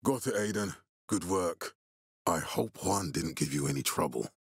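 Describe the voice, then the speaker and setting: A stern commander in a post-apocalyptic video game. His low voice is husky and gravelly, and he speaks formally, with deliberate authority. The sound is close and dry. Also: lively intonation, no room sound, full character voice. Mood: steady, confident. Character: stern, commanding male military leader in a post-apocalyptic city. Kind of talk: video game character dialogue.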